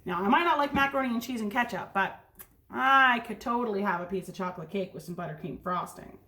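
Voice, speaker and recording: A woman's voice: medium pitch, quick pace, pushed and bright. A woman teacher reading a story aloud to young children, with simple, instructive asides.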